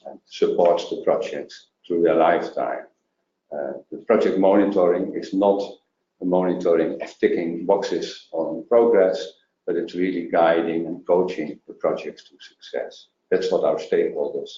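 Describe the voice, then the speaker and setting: A man presenting a programme in a webinar, halting with many fillers and restarts. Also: deep voice, mellow, slight background noise. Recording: roomy, dull lo-fi sound.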